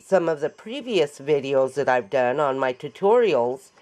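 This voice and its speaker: A woman with a raspy voice after an illness, chatting and joking as she demonstrates a paper craft in her home craft room. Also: rumbling low, animated, unhurried, a little mellow. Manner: chatty, joking, laughs often. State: recovering from illness. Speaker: craft tutorial host. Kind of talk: casual chat while demonstrating a craft.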